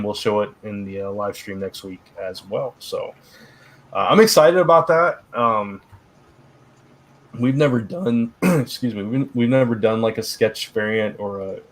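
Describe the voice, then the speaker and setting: A middle-aged man co-hosting a casual live stream, rambling with lots of fillers and restarts, his voice turning hoarse. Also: fairly low pitch. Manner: rambling, many fillers and restarts, laughs often. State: getting hoarse. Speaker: live stream co-host, publisher.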